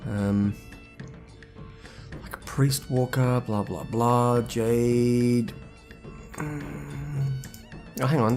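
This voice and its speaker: A hungover, husky-voiced man, rambling casually as he comments on his own gameplay. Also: lowish voice. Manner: rambling, thinks aloud. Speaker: gaming video host.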